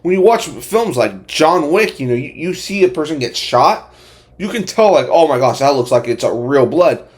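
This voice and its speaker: A man giving a casual, unscripted movie review as an amateur host, speaking in a halting, repetitive way with many verbal tics and emphatic opinions. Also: on the high side, sing-song.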